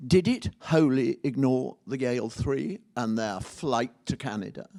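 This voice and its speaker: A man's voice: medium pitch, animated, a little slow, vocal fry, mellow. A man in a conference audience asking pointed, insistent questions. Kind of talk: public Q&A question.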